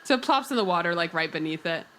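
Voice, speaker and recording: A woman with a slightly low voice takes part in a tabletop game. Her talk is informal, playful and conversational. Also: animated, fast talker, noisy background. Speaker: guest tabletop player. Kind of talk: casual tabletop game chat.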